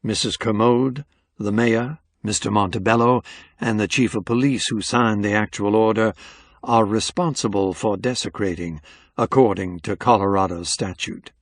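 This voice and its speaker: A man performs a cool, insolent special agent from a mystery novel. His Southern American accent is smooth and measured, and his manner is formal and unflappable. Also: on the low side, fairly even intonation, dry recording, clean studio sound, close to an everyday voice. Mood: calm, authoritative.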